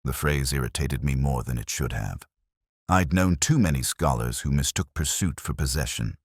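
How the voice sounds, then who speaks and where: Guy doing a really deep melancholy british inspector telling his case, measured, literary, close dry sound. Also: husky, no room sound. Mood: wry, sombre. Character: world-weary, melancholy British male police inspector, middle-aged or older. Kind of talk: scripted first-person detective audio drama narration.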